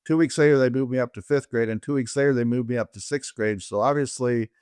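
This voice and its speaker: A man in his sixties or older who grew up in Colombia, telling his own story conversationally in a halting way. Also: mid-range voice, darkish tone, smooth, no echo, studio quality. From Colombia, raised by American parents. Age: 60s or older. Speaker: interview guest, orthopedic surgeon.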